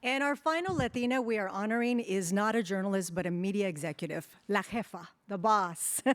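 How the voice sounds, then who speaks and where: A woman's voice: medium pitch, fairly quick. A woman presenting at an awards breakfast, reading honoree introductions in an upbeat way with small asides. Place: event hall at a breakfast banquet.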